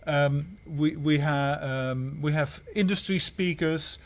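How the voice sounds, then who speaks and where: A man from the Netherlands with a slightly high voice speaks as a head of school. He talks haltingly, stopping and restarting his phrases often. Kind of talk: answering questions on a livestream.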